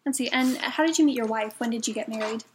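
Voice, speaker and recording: A woman's voice: a little high, quick pace, slight background noise. A young woman student interviewing someone for a class, asking hesitant questions with many um and like fillers. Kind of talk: oral history interview.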